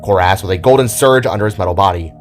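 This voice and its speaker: Man, low voice, scripted fantasy narration, dramatic storyteller, background music. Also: fast talker. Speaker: narrator of an amateur audio drama. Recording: music under the voice.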